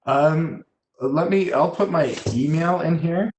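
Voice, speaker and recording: A man giving informal career advice as a guest on a video call, speaking haltingly and often trailing off. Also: on the high side. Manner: hesitant, halting delivery with trailing phrases. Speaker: guest, landscape designer and choral musician. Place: indoor room on a video call. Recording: echoey room, faint background noise.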